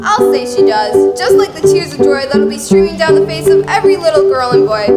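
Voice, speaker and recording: A woman playing a gushing little girl visiting a store Santa in an old-time radio commercial, with exaggerated sales-pitch delivery. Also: big pitch swings, a little fast. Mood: gushing, excited. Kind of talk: 1940s radio commercial acting. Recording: roomy stage, loud guitar music behind.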